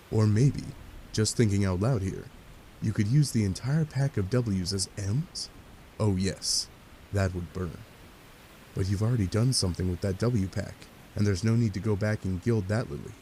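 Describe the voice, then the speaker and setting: Mellow, low-voiced male narrator. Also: low-quality recording. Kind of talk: scripted second-person story narration. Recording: faint background hiss.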